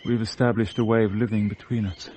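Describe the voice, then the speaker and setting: An actor playing an easygoing, tender young lover in a radio play, speaking in a relaxed, intimate way. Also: male voice, on the low side, fast talker, a little hiss or hum, close to an everyday voice. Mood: tender, lazy. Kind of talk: British radio play acting.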